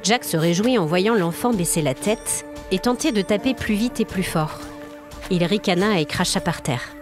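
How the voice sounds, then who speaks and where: A woman's voice: medium pitch, expressive, normal speed, music in the background. A woman storyteller narrating a scripted audio story in a dramatic, atmospheric manner. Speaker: storyteller, podcast host.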